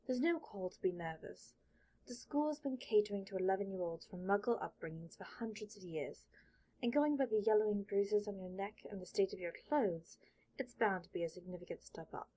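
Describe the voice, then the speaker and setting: A woman's voice: medium pitch, fairly quick, dark tone, close to an everyday voice, poor audio. A woman voicing an aloof, precocious eleven-year-old wizard boy in an audiobook, speaking in formal, matter-of-fact, condescending sentences. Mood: brisk, reassuring. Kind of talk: audiobook character voice.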